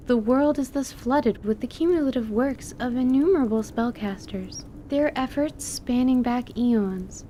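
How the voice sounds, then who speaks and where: Woman as a mellow, bookish wizard. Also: on the high side, slight background noise, natural voice. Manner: precise, scholarly, formal. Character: bookish, guarded female wizard who over-explains magic. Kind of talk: dramatized tabletop audio drama.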